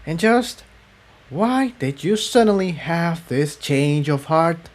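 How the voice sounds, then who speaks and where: A man plays a feisty mare from a storybook adventure. The voice is pushed well up high, suspicious and sour, snapping out sharp retorts. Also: lively intonation, slow. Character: feisty, suspicious, sour-tempered female pony.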